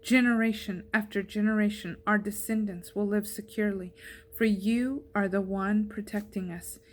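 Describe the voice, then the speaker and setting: A woman's voice: medium pitch, fairly even intonation, moderate pace, slight background noise. A woman reading a psalm aloud as a scripture reader.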